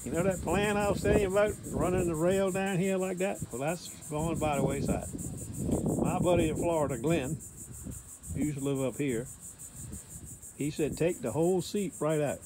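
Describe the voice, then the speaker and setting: A man's voice: a little high, moderate pace. An older man with an American accent gives a casual, rambling walk-through in a folksy, plain-spoken way, as a vlogger filming on his phone. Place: inside a house under renovation, later outdoors. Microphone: handheld phone. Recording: echoey rooms, background noise, handheld.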